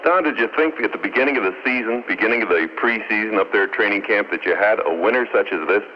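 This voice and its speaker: A man's voice: lowish voice, fast. A man working as a radio sports reporter, conducting a post-game interview. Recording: dull radio sound, faint noise.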